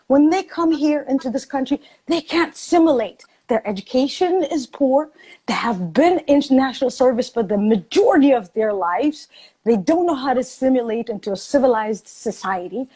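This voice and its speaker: A woman's voice: high voice, a little mellow, husky. A woman from Ethiopia in a heated debate, talking passionately and insistently, repeating phrases for emphasis.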